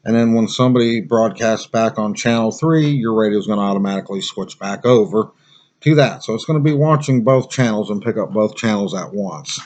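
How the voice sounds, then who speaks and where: A man giving a casual product review in a plain-spoken, halting, conversational way. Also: on the low side, a little mellow, dull audio.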